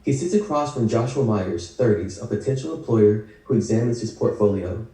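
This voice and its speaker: A man's voice: fairly low pitch, moderate pace, dark tone. A man narrating scripted stage directions for a table read. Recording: echoey room, distant video call mic.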